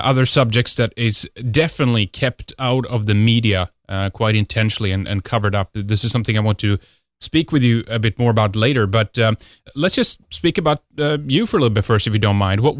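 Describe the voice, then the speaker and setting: A man's voice: medium pitch, no room sound, studio quality. A man from Sweden with a Swedish accent, hosting a talk radio show. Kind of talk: talk radio monologue and guest introduction.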